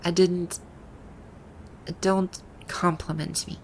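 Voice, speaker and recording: A woman's voice: fairly low pitch, little pitch movement, slow pace, slight background noise. A woman playing a haughty, easily flustered female final-boss villain from a video game in an ASMR roleplay, with an imperious, indignant manner. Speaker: voice actor.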